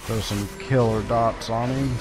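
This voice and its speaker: Slow-paced man doing casual game commentary. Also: mid-range voice, steady tone, over music. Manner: playful, talks to himself and the game. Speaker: gaming video creator. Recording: game combat noise behind. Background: video game sound effects.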